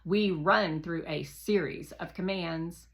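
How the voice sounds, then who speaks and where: A woman voicing a curious, earnest robot rover in a children's storybook, speaking in a precise and measured way. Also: on the low side. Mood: earnest, focused.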